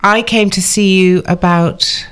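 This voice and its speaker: A woman's voice: medium pitch, steady tone, measured pace, a bit bright, clean tone. A middle-aged woman co-hosting a chat in a clinic, chatty and self-deprecating, rambling a little as she talks. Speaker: co-host, journalist. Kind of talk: casual chat. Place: clinic room.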